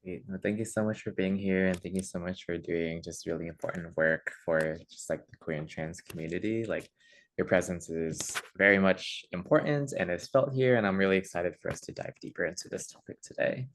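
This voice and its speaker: A woman hosting an online webinar on a video call, friendly and upbeat, with lots of "um"s and "super excited" enthusiasm. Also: rumbling low, a little fast, a little hiss or hum. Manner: upbeat, friendly, many fillers. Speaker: webinar host, community education manager.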